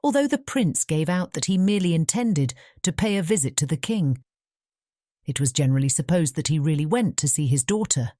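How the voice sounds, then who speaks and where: A woman narrates a storybook in a British accent. Her voice is notably low and soft-edged, dark in tone. She tells it in a measured way, recorded very dry. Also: expressive, dry recording. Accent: British English. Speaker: audiobook narrator. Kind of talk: scripted fairy tale narration.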